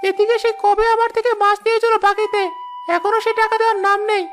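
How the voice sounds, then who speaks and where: A woman's voice: very high-pitched, level tone, fast talker, slight background noise. A woman playing a demanding, bad-tempered market trader in a cartoon, insistent and scolding. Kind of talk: cartoon voice acting.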